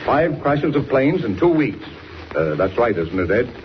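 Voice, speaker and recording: An actor playing a brisk, gruff middle-aged newspaper editor in a 1940s radio drama. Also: male voice, mid-range voice, sing-song. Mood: businesslike. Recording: noisy, muffled 1940s radio serial recording.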